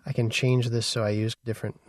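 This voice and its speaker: A man giving a casual, conversational software tutorial as an instructor. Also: medium pitch, level tone, quick pace, no room sound. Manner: informal, conversational.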